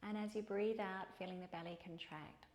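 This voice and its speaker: In an echoey room, a woman leads a gentle yoga meditation. She speaks calmly and soothingly at a middle pitch, leaving space between her phrases as she gives instructions. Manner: calm, soothing, unhurried with pauses between phrases. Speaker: yoga teacher.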